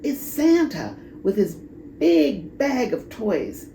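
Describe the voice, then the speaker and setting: Animated, unhurried woman reading a storybook. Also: fairly high pitch, creaky, echoey room, slight background noise. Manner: animated, drawn-out emphasis. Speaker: children's storybook reader. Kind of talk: expressive read-aloud storytelling with asides.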